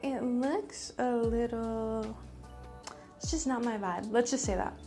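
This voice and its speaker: A woman's voice: a little high, slow, music in the background. A young woman in her twenties from Los Angeles, chatting casually and bubbly to viewers as a contestant. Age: 20s. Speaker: contestant, esthetician. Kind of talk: casual chat to camera.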